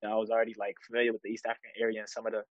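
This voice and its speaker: A young man in his twenties from Chicago, telling a story on a student podcast in a steady, low-key way. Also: very fast, no echo, faint music behind. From Chicago, United States. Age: 20s. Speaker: student guest. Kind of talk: casual podcast conversation. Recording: dry, dull lo-fi sound.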